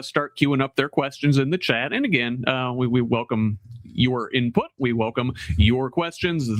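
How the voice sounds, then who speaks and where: A man hosting a virtual town hall, relaying viewer questions in an animated way with many fillers. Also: medium pitch. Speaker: host. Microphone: video call.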